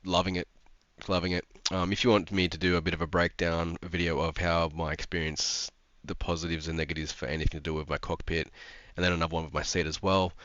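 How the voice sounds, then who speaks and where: Deep-voiced aussie bloke with a blocked nose from a cold, rambly, dull dry sound. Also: male voice, level tone, fast talker, hardly any pauses, a bit bright, dry recording. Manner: rambling, frequent ums and restarts. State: congested from a cold. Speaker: sim racing YouTuber. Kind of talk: casual commentary to camera.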